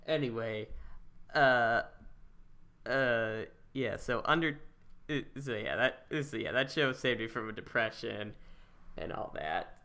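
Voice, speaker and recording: A man with a bright, clean voice at an average pitch hosts a casual podcast conversation. He rambles and halts, doubling back on his words as he goes. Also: clear, resonant tone. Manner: rambling, halting, repeats words. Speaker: podcast host and interviewer. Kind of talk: casual chat.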